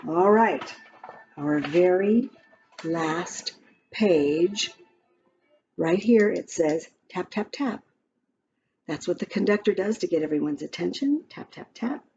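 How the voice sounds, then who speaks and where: A woman reading a children's picture book aloud in a gentle, expressive storytime voice. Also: lowish voice, takes it slowly, pauses often, lo-fi sound, a little hiss or hum.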